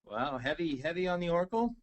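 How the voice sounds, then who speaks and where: A man from Nova Scotia presenting software demos in a webinar, easygoing, with many fillers and hesitant restarts. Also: a little high, big pitch swings, fast. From Nova Scotia, Canada. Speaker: technical presenter.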